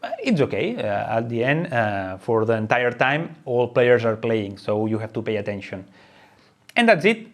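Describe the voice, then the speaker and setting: A man's voice: medium pitch, very animated, normal speed. A man presenting an unscripted how-to explanation of a game. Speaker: presenter of a board game tutorial.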